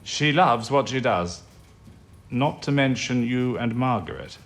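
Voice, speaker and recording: A man's voice: mid-range voice, lively intonation, a little slow. An actor playing a genial, supportive British wartime intelligence chief in an audio drama, encouraging and old-fashioned in his courtesy. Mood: businesslike.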